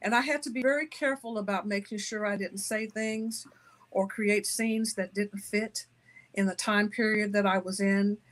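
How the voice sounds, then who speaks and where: A woman speaking as an author and interview guest, giving conversational answers with deliberate, emphatic phrasing. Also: medium pitch, steady tone.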